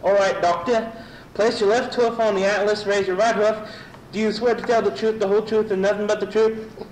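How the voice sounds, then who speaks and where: A man playing a solemn court bailiff in an amateur radio comedy, loudly declaiming. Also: high voice, little pitch movement, brisk, lightly acted. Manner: loud declaiming. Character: loud, solemn court bailiff swearing in witnesses. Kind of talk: amateur old-time radio comedy acting. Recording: echoey, slightly noisy room.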